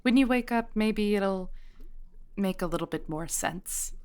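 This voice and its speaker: A woman playing a kindly modern witch shopkeeper, patient, wry and reassuring, in an intimate scripted audio roleplay, speaking gently as she explains. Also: mid-range voice, unhurried, close to an everyday voice. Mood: soothing.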